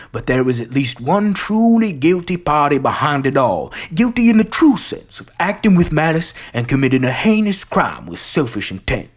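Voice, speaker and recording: A theatrical gentleman detective acted out by an amateur reciter, husky and grandiloquent. The delivery swings widely in pitch as each deduction is laid out with righteous deliberation, like a film monologue. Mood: deliberate, grave.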